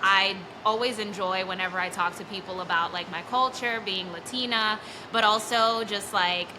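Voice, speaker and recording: A woman's voice: medium pitch, fairly even intonation, moderate pace. Young woman from New York, casual guest chatter, filler-heavy, noisy live setting. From New York City. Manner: chatty, lots of fillers and likes. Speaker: guest, bakery employee. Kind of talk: casual chat. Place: bakery during a birthday event.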